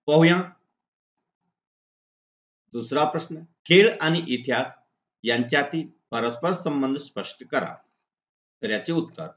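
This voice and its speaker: Male teacher, deliberate instructional read of exam answers, point by point; roomy, slightly muffled lo-fi recording. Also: medium pitch, a bit bright. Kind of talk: exam answer walkthrough, reading model answers aloud. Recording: echoey room, dull lo-fi sound.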